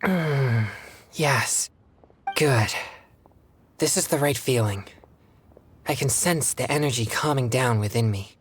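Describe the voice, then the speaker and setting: A courteous, reserved young man, an exorcist from a video game, played by an actor. His phrasing is formal and measured, his pitch sits mid-range, and there is clear noise and ambience behind him. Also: natural voice. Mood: earnest, grateful. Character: earnest, polite young male exorcist, reserved and kind. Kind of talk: video game character dialogue.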